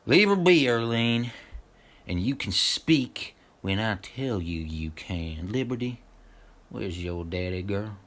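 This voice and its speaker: A man's voice: fairly low pitch, lively intonation, fairly bright tone. A man playing a menacing, crude backwoods moonshiner in an audio drama, with a rustic, taunting way of talking. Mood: bossy, menacing. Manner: rustic, slow, taunting talk.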